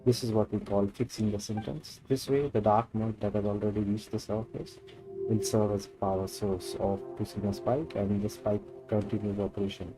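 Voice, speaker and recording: A man's voice: on the low side, flat delivery, moderate pace, over music, poor audio. A man with an Indian accent live-streaming a game, switching between English and Hindi as he reads story dialogue aloud and comments.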